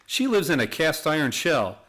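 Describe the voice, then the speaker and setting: Man playing an animated, wry young lawyer. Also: a little high, moderate pace. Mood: easygoing, wry. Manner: easygoing, wry. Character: amiable, wry young male city lawyer. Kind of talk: radio play acting.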